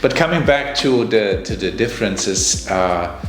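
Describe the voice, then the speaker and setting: Chatty German podcast host, echoey room. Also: male voice, fairly low pitch, expressive, measured pace. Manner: chatty, rambling questions with many restarts. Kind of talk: conversational interview. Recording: echoey room, faint music.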